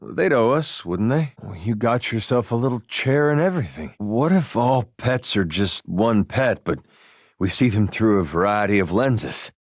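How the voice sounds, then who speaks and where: An actor voicing an easygoing, good-hearted farmer turned adventurer in a video game, with a folksy, dryly funny delivery. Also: male voice, lowish voice, no echo, full character voice. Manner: folksy, laid-back, dry deadpan humor. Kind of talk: video game character dialogue.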